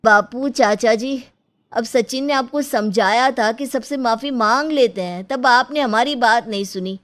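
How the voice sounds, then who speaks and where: A woman's voice: on the high side, a little slow, fairly bright tone. Cartoon role, female performer: troubled village husband, apologetic, earnest pleading delivery. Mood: regretful, urging. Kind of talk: cartoon moral story dialogue.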